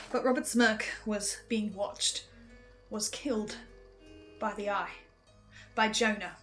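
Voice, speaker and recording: High-pitched woman giving a pensive recap. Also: a bit bright. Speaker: host of a fan recap series. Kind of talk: dramatic investigative recap monologue. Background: music.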